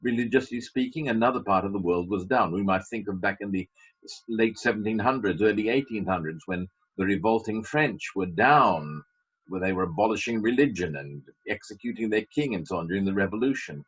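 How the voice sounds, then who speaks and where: A man's voice: fairly low pitch, expressive, a little fast, breathy edge. A man preaching a sermon as a pastor, emphatic, with wry asides. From Britain. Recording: dull lo-fi sermon recording.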